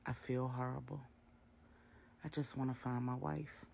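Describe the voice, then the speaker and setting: A woman's voice: rumbling low, little pitch movement, measured pace, gravelly, a little mellow, full character voice. A woman voicing a troubled, exasperated grown son in a story, plaintive and protesting. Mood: dejected. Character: troubled, exasperated adult son searching for his wife.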